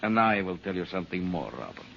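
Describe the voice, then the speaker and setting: An actor in an old-time radio drama playing a cunning, megalomaniac scientist villain who speaks in a theatrical, halting way. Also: male voice, sits low, very animated, creaky, fairly bright tone, exaggerated voice. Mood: falsely meek, sly. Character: scheming megalomaniac male scientist villain, falsely meek, cunning. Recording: dull, noisy old radio recording.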